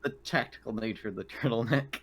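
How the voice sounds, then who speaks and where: A man with a medium-pitched voice, talking casually as a player in a tabletop game. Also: fast, a crowd in the background.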